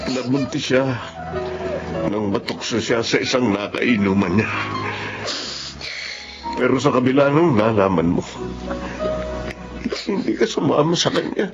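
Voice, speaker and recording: A man's voice: mid-range voice, animated, a little slow, pauses often. A tender, wounded Filipino father in a radio drama, earnest and sorrowful. His voice is muffled and lo-fi, with prominent music playing behind it. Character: heartbroken, devoted working father and betrayed husband. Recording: dull lo-fi audio under loud music.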